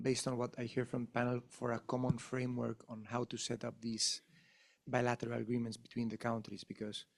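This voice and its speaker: Gravelly man moderating a panel. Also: mid-range voice. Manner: halting, restarts, tag question "no?". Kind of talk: panel discussion.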